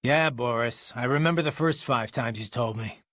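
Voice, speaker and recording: An actor playing a confident, wry cyborg mercenary in a video game. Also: male voice, mid-range voice, moderate pace, a bit bright, dry recording, natural voice. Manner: cool, wry. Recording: game music behind.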